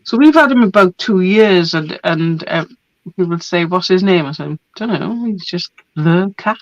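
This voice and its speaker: Hoarse chatty woman, dry lo-fi sound. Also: on the low side, normal speed, no echo. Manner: chatty, relaxed, laughs often. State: hoarse from a cold. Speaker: craft product presenter. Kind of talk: casual product show-and-tell.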